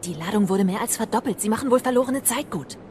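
A woman's voice: mid-range voice, lively intonation, fast, a little mellow, lightly acted. Actress as a watchful female scout, noisy background. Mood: wary, reporting. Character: loyal, watchful female scout serving an Indian queen. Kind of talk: dramatic audio drama acting.

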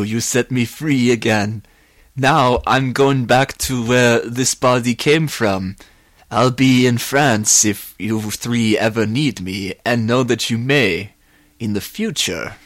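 A man voicing an anguished, vengeful man who turns coldly unhinged, in halting dramatic outbursts for an audiobook. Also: medium pitch, no room sound, lightly acted.

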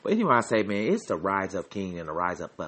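A man's voice: low voice, wildly swooping pitch, quick pace, a bit bright, breathy edge. A man hosting his own podcast, delivering a joking, repetitive sports rant. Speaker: podcast host.

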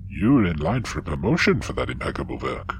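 A man's voice: very deep voice, big pitch swings, fast talker, a little hiss or hum, heavily acted. A man voicing a blunt, clinical alien counselor in a sci-fi audio drama, with an Austrian-sounding accent and a habit of tagging "yeah?" onto statements. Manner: frank, tags statements with "yeah?".

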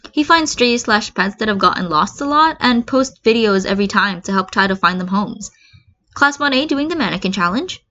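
A woman's voice: a little high, a bit bright. Woman reading a story, slightly muffled. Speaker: fan fiction reader. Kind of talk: expressive amateur story narration.

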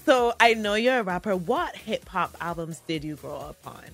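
A woman hosting a radio show, interviewing a guest in a bubbly, teasing way with quick affirmations. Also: lowish voice, expressive, fairly bright tone, faint music behind. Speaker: radio host.

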